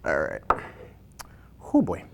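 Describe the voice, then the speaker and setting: A man hosting a casual web interview, speaking informally with jokey asides. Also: slow. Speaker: interview host.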